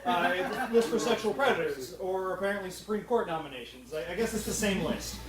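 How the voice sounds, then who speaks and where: Female comedy panel host, wry, mid-pitched, in a reverberant room with audible crowd. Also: lively intonation, a little fast. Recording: echoey room, distant mic, crowd behind.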